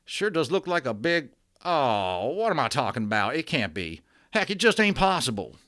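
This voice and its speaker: A man's voice: medium pitch, sing-song, moderate pace, a bit bright, pitched above the performer's own voice. Man putting on a startled, drawling cowboy. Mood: bewildered. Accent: put-on American cowboy drawl. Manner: folksy exclamations, muttering in disbelief. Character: tiny startled cowboy with a drawl, wary and bewildered.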